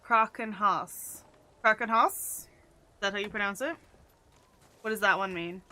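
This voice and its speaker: A woman's voice: mid-range voice, a little slow, sharp tone. A woman streaming a video game, chatting casually and playfully, often laughing at herself. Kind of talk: casual gameplay commentary.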